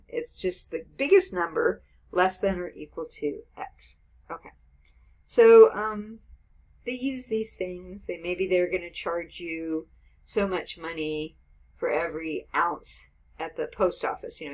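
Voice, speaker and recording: A woman in her fifties teaching math in a casual screen-recorded lecture, explaining with everyday examples and checking in with "okay" and "right". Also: lowish voice. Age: 50s.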